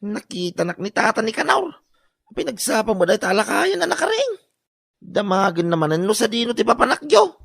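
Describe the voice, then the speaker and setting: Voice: medium pitch, big pitch swings, fairly quick, vocal fry, a bit bright, breathy edge, no echo, heavily acted. An actor playing an exasperated, grumbling worker fed up with nosy neighbours in an Ilocano comedy radio drama. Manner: grumbling, exclaiming.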